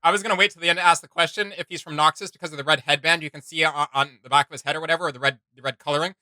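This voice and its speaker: A man's voice: fairly high pitch, steady tone, racing, bright, forceful tone, no room sound. A man giving casual reaction commentary as a host, rambling and acting out imagined quotes as he goes.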